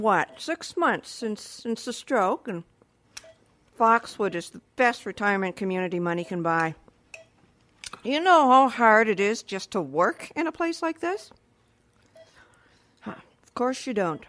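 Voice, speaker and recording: Chatty caregiver with swooping pitch. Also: female voice, sing-song, unhurried, pauses often, fairly bright tone. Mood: smug chatter. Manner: chatty, rambling, hesitant when flustered. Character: chatty, self-satisfied female retirement home caregiver, easily flustered. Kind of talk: audio drama acting.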